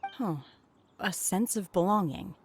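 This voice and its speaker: A woman's voice: mid-range voice, a little slow, a little hiss or hum, close to an everyday voice. A woman playing a reserved, earnest young outsider in an anime game, subdued and hesitant in her speech. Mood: pensive. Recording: clear music behind the voice.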